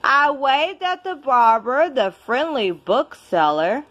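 A woman reading a children's picture book aloud at home in an expressive, deliberate storybook manner. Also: high voice, highs cut off. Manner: measured, deliberate storybook delivery. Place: indoors at home.

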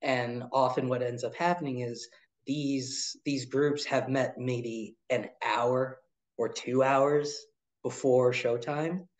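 A man in his thirties, an arts director, answering interview questions in a relaxed way with many ums and uhs. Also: medium pitch, slow. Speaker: arts nonprofit director, guest.

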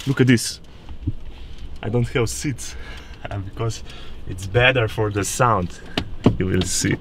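A young man in his twenties vlogging from inside a small car on a highway, chatty and excitable, laughing often. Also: takes it slowly. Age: 20s. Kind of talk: casual road-trip vlog. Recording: loud road and engine noise. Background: car and road noise.

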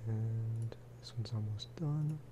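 A man giving casual, rambling ASMR game commentary, speaking softly and often slipping into whispers. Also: lowish voice, takes it slowly, background noise. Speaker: gaming video host.

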